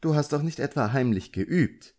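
Casting: fussy, gossipy magician's wife of middle age, voiced by a man, higher-pitched and caring, for a storybook reading. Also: animated, moderate pace, darkish tone, close to an everyday voice. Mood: suspicious.

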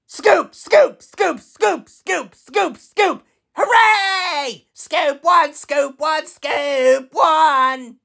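A man's voice: extremely high pitch, extremely slow, gravelly, pushed and bright, heavily acted. A man voicing an eager, cheerful digger from a children's cartoon.

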